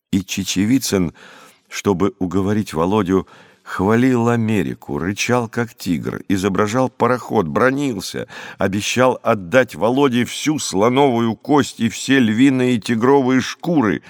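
A man narrating an audiobook story expressively, with dramatic, clearly phrased scripted reading. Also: on the low side, no room sound, clean studio sound.

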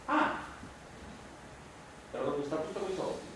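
An actor playing a suspicious, excitable man who nags with insistent, repeated questions in comic stage dialogue. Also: a little high, moderate pace. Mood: accusing. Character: suspicious, nagging, excitable male stage companion named Carmine. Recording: roomy, distant, noisy stage recording.

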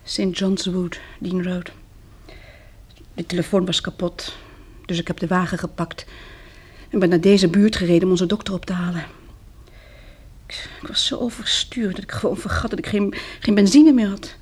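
An actress playing a shaken but plucky, well-off young woman, a survivor of disaster, in a Dutch radio drama, with a slightly breathy voice. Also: lowish voice, fairly even intonation, normal speed, darkish tone. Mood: weary, confiding.

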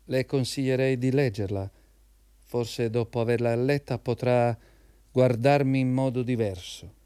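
An actor playing a defiant, needling unemployed communist jobseeker in a radio drama, insistent and goading. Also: male voice, medium pitch, steady tone, a little slow, mellow, smooth, no room sound, lightly acted. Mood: quietly insistent. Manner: insistent, goading, showing off knowledge.

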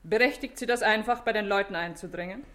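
An actress playing a haughty, indignant, sharp-tongued woman in a German radio crime drama. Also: lowish voice, fairly quick, close to an everyday voice. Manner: imperious, cutting.

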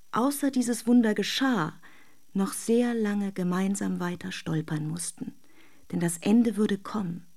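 A woman's voice: mid-range voice, creaky, mellow. An actress playing an adoring, despairing woman in a secret love affair, in an intimate, emotional radio drama. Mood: foreboding, sorrowful.

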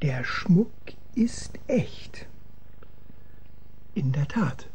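Radio drama, thin fussy male expert: hesitant, breathy rasp, slow delivery with swooping pitch. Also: sing-song, a little mellow, exaggerated voice. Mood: grave, precise. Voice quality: raspy, breathy. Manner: halting, echoing others, precise and ponderous.

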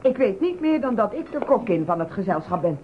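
An actress playing a flustered, grumbling, talkative cook in a Dutch radio play. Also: female voice, medium pitch, very animated, a little fast, lightly acted. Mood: grumbling, blabbing. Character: flustered, grumbling female cook who can't keep quiet. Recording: muffled, slightly noisy radio recording.

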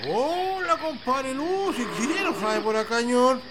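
A man's voice: extremely high pitch, slow pace, crowd noise. A man playing an indignant, resigned farmer with a rural Chilean accent, grumbling in colloquial country talk in a radio play. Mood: greeting. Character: peasant farmer Juan, indignant and resigned, plain-spoken. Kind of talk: amateur radio play dialogue. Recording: outdoor ambience noise with birds and insects.

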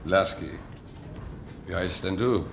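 An actor playing a weary, disillusioned ship's trimmer in a German radio play, terse and resigned. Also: male voice, sits low, slow pace, vocal fry, exaggerated voice. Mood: glum, resigned. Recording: noisy radio play recording with ambience.

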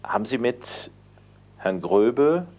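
A man narrating a radio documentary in a calm, measured way and asking interview questions. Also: medium pitch.

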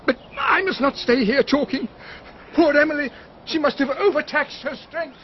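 An actor playing a solemn, bereaved English husband with stiff, formal phrasing in a radio drama. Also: male voice, very high-pitched, moderate pace. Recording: muffled, noisy radio recording, outdoor birdsong ambience.

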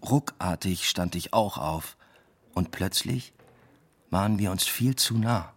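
An actor playing a weary, introspective academic who tells his own story in measured radio drama narration. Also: deep voice, a bit bright, husky, heavily acted. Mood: dry, observant. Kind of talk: scripted first-person radio drama narration.

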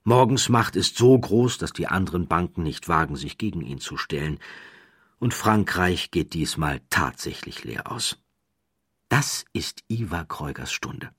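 A man narrating a scripted historical story as an audiobook narrator, in a measured, dramatic storytelling manner. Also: deep voice, expressive, fairly bright tone, husky, no echo, studio quality.

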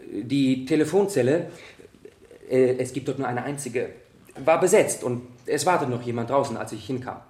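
A man playing a young student witness in a radio courtroom drama, halting and stammering yet insistent. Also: medium pitch, a little mellow, natural voice. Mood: hesitant. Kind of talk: radio play courtroom dialogue. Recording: roomy 1970 radio drama studio.

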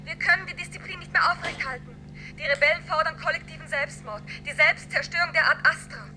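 A woman's voice: high voice, brisk, music in the background, natural voice, low-quality recording. A woman playing a panicked crew member raising the alarm in a science-fiction radio drama. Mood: panicked, urgent. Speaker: voice actor.

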